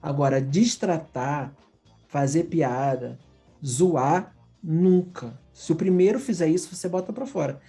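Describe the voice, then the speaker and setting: A Brazilian man speaks in a dark, gravelly voice with a Brazilian Portuguese accent. He is a design professor telling candid, slang-filled stories in a relaxed conversation. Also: a little high, unhurried, faint music behind. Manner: animated, candid, slangy storytelling. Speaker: guest, design professor abroad. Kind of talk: casual storytelling conversation.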